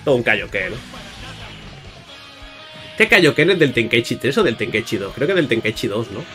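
A man commentates a video game in a casual, chatty way, addressing his viewers as he plays. His voice sits at an average pitch, with playful exclamations thrown in. Music plays clearly behind him. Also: very animated, normal speed, pushed and bright. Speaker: gaming YouTuber. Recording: music under the voice.

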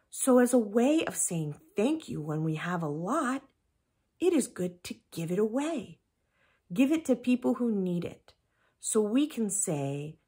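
A young woman reading aloud and reflecting for children in a deliberate, carefully enunciated way. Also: medium pitch, husky. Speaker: children's story reader and presenter. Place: indoors in a home room with windows.

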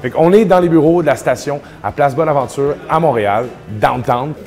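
A man in his thirties with a Québécois French accent, chatting casually as he walks through a radio station's offices and studio on a lapel mic, smooth and self-deprecating. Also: on the high side, a little fast, a little mellow, soft background music. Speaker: event host and radio personality. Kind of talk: casual vlog narration.

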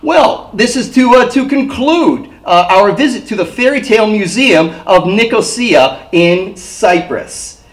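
A man's voice: sits high, expressive, unhurried. A middle-aged man presenting a travel vlog as host, narrating and interviewing.

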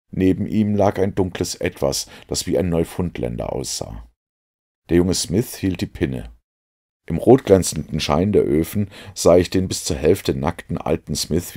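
A man with a gravelly, husky voice reading literary narration as an audiobook. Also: very deep voice, warm tone. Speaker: audiobook reader.

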